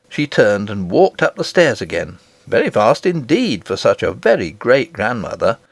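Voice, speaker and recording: A man reading a children's storybook aloud as expressive scripted narration. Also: mid-range voice, no echo. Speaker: audiobook reader.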